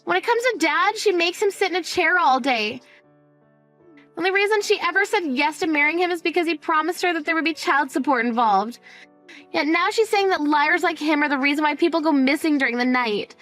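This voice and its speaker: A woman's voice: extremely high pitch, brisk, a bit bright, faint music behind, pitched above the performer's own voice. A woman playing a frightened teenage daughter, whiny and pleading, in a dramatized story. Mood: scared.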